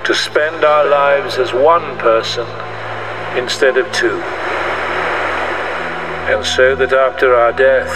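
A man's voice: mid-range voice, fairly even intonation, takes it slowly, pauses often, natural voice. A man playing a wry, tender groom speaking hesitantly at his outdoor wedding in an audio drama. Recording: noisy outdoor scene, distant from mic.

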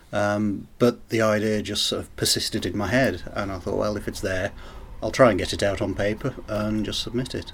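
Very low-voiced male writer, thoughtful. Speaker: short story writer. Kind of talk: interview talk about writing.

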